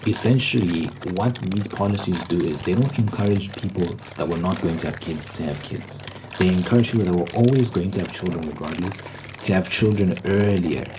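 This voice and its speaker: A low-pitched speaker delivers a casual ASMR ramble full of opinion. The delivery is emphatic, circling back to restate key points. Noticeable noise runs behind the voice. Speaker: host. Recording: clearly noisy background.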